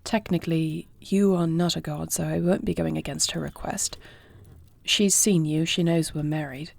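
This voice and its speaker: The battlefront queen in a fantasy audio roleplay acted by a woman, formal and headstrong in a lowish register, heard up close with no room around it, as if in your headphones inside her command tent. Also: steady tone, moderate pace, creaky, no room sound. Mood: conciliatory, earnest. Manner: formal, regal phrasing. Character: dragon-shifter princess, newly wed, dutiful, proud, headstrong warrior. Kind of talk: scripted audio roleplay acting, one side of a dialogue.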